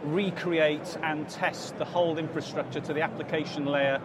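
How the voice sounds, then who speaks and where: A man in his forties talks through his business with plenty of ums and restarts, his voice sitting a little high for a man. Behind him a busy expo hall roars with loud noise. It sounds like a live stand interview. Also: fairly even intonation, normal speed. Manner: explanatory, many fillers and restarts. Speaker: interview guest, company director. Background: crowd chatter and hall noise.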